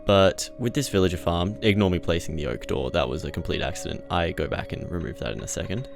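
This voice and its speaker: A man's voice: low voice, quick pace, creaky. A man giving casual, conversational, jokey first-person narration of his video game play. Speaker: gaming video creator narrating gameplay. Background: music behind the voice.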